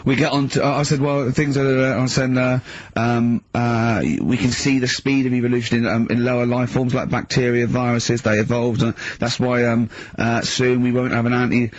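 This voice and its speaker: A man's voice: mid-range voice, level tone, normal speed, hardly any pauses, dry recording, highs cut off. A man telling an anecdote in casual radio banter. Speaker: radio show host.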